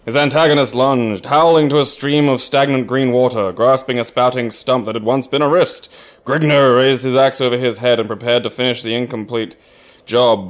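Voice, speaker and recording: A man co-hosting with casual banter and mock story reading, sarcastic and given to swearing. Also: medium pitch. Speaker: co-host of a gaming show.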